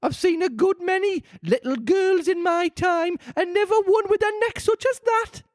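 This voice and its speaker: A reader voicing an indignant female pigeon in a shrill, high falsetto, drawn out and contemptuous. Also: moderate pace, no room sound, clean studio sound. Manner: contemptuous, huffy, stretched-out words.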